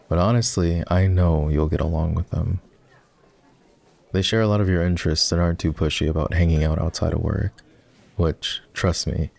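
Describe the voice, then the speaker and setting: Low, creaky-voiced boyfriend character acted by a man. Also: warm tone. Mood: teasing, friendly. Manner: intimate, halting, conversational. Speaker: voice actor. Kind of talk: boyfriend roleplay ASMR acting.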